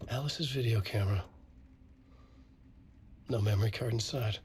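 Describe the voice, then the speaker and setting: Game protagonist: actor as brooding male writer, hushed low murmur, terse investigative asides, faint background noise. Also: normal speed, lightly acted. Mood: pensive. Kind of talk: inner-monologue game narration.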